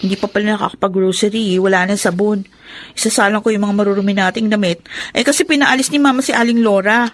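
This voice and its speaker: A woman's voice: mid-range voice, fairly even intonation, fast talker, lightly acted. A Filipino woman acting a caring, teasing, practical older sister in her twenties in a radio drama. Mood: chatty, practical. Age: 20s.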